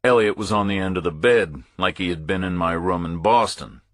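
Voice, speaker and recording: Low, bright-voiced man narrating a thriller. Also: a little fast, no room sound, clean studio sound. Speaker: audiobook narrator. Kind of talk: scripted first-person thriller narration.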